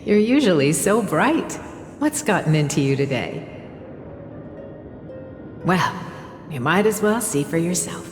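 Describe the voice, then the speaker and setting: A woman playing a gentle, doting motherly figure in a game, speaking in an affectionate, soothing storytelling manner. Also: fairly low pitch, sing-song, unhurried, pauses often. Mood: fond, teasing. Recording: reverberant hall-like echo with music behind.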